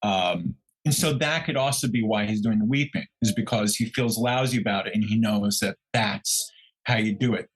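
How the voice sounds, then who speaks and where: A man's voice: fairly low pitch, normal speed, husky, low-quality recording. Man musing in casual podcast talk. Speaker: podcast co-host. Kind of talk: casual true crime discussion.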